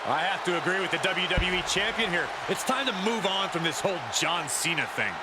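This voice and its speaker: A hyped up wrestling announcer, high pitched, punchy dramatic game commentary. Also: male voice, lively intonation, fairly quick, loud music behind the voice, over a noisy crowd. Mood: amazed. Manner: emphatic, dramatic delivery. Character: excitable male TV wrestling commentator. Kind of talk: televised sports play-by-play commentary.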